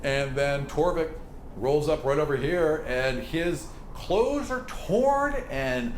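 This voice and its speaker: A man's voice: fairly high pitch, animated, slow pace. A man running a tabletop game as game master, describing scenes dramatically and voicing sound effects himself. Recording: roomy, with clear background noise.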